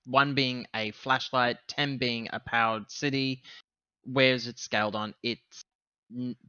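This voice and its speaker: A man playing a tabletop game over a voice call, talking casually and haltingly as he thinks out loud. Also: medium pitch, steady tone, clear, resonant tone, no room sound, lo-fi sound. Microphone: online voice call.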